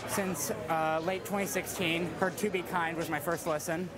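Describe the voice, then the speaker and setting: A teenage boy from Oklahoma, an earnest concert-goer talking quickly in a short street-style interview at a crowded venue. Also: on the high side, steady tone, loud music behind the voice. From Oklahoma, USA. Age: teens. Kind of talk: vox pop interview. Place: crowded concert hall lobby. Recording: busy crowd noise behind. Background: loud crowd chatter.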